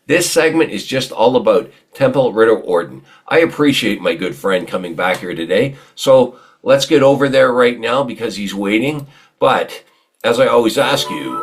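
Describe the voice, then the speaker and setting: A man hosting an informal interview, speaking in a halting, conversational way and repeating words. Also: medium pitch. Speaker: interviewer and show host.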